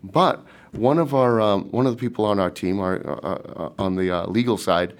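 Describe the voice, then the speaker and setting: A man's voice: lowish voice, moderate pace. A man from Boston with a light Boston accent, answering interview questions in a rambling way, with many fillers and restarts. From Boston, USA. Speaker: startup team member. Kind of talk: casual interview talk.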